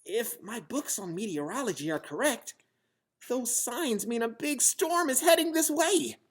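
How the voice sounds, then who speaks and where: A man's voice: very high-pitched, lively intonation, a little slow, breathy edge, pitched above the performer's own voice, heavily acted. A man voicing a small, bookish buccaneer bunny in a storybook, earnest and polite. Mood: thoughtful.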